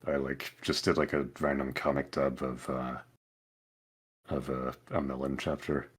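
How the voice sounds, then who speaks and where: A man's voice: a bass voice, flat delivery, unhurried, slightly rough. A man chatting casually on a podcast in a hesitant way, feeling his way through the story. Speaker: podcast member, amateur voice actor. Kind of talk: casual chat.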